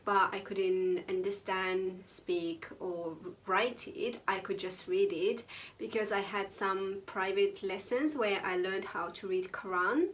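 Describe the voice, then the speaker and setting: A young woman from Azerbaijan, telling her own story to camera in a casual, playful vlog, sometimes breaking into high exclamations or mock whispers. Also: fairly bright tone. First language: Azeri. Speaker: vlogger.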